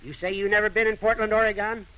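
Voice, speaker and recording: An actor playing a folksy, rambling teller of tall tales, spun in earnest, in an old radio play. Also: male voice, sits high, little pitch movement, clean tone, lightly acted. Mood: earnest yarn-spinning. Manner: folksy, drawn-out, earnest tall-tale delivery. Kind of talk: old-time radio comedy-drama acting. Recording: muffled old radio recording.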